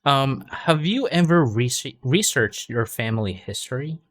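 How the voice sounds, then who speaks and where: A man's voice: medium pitch, expressive, a little slow, a bit bright. A man tutoring English over a video call, chatting casually and often restarting his words. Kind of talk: conversational English lesson.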